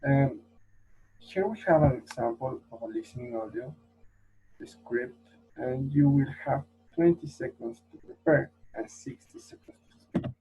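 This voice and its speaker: A man explaining speaking exam tasks in a halting way with fillers. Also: medium pitch, warm tone, slightly rough. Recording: dull lo-fi recording.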